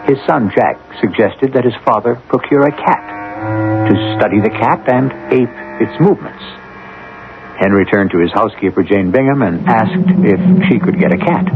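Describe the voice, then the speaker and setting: A man narrating as host of a radio drama, in a theatrical, wry and deliberate scripted style. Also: fairly low pitch, background noise. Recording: phone-like narrow old radio broadcast.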